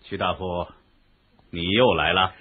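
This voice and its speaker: An actor playing a commanding, gracious ruler of an ancient Chinese state in a historical radio drama, speaking in a stately, measured way. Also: male voice, low-pitched, husky. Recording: dull, lo-fi radio drama recording.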